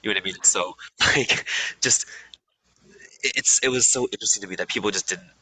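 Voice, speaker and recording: A man's voice: lowish voice, brisk, bright, forceful tone, breathy edge, dry recording, low-quality recording. A young American man from California, talking casually as an interview guest, with many ums and restarts. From USA.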